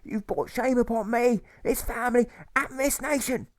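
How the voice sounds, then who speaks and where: Man putting on a shrill, scolding old mother. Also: no echo, clean studio sound. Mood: outraged, scolding. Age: older. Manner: scolding, halting repetition. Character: hostile, ashamed elderly mother, thin and wrinkled.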